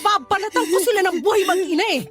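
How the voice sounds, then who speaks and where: Shrill, fast-talking, fierce mother. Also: fairly bright tone. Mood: indignant, vengeful. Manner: feisty, scolding, blunt. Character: fierce, vengeful, protective mother of a grown daughter. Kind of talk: Tagalog radio drama acting.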